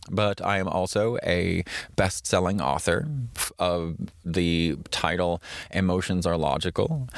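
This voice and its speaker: Podcast host, male, from the Midwest; very low voice, dry close sound, loose reflective delivery. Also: creaky, dry recording. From American Midwest. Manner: casual and reflective, with fillers and restarts. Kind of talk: solo podcast monologue.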